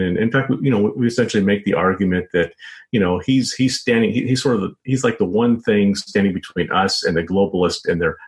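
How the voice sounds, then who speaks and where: An American man explaining his views as an interview guest, in halting, repetitive phrasing full of filler phrases and hedges. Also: deep voice, husky. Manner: halting, repetitive phrasing with many "you know" and "sort of" hedges. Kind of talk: informal explanatory interview answers.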